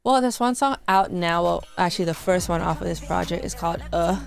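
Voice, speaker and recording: A woman's voice: fairly low pitch, fairly quick, gravelly, soft background music. A woman from Thailand, a musician guest chatting casually and explaining things in an informal way. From Thailand, lived in many countries growing up. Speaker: guest, rapper and singer. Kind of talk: casual interview chat.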